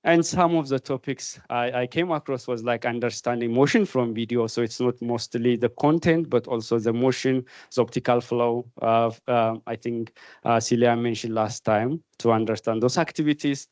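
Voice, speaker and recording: Ethiopian man lecturing, halting and informal, dry sound. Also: medium pitch, fairly even intonation, a little mellow, no echo. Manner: halting, repeats words, informal. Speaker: keynote speaker, research manager. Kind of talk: conference lecture, conversational.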